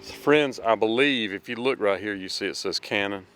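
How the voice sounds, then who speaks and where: Middle-aged male travel vlogger, lowish voice, friendly rambling tone, camera mic outdoors with clear traffic noise behind. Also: moderate pace, a bit bright. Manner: chatty, addresses viewers as friends. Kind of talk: casual walking-tour vlog. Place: outdoors in a city square. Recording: noisy outdoor street recording.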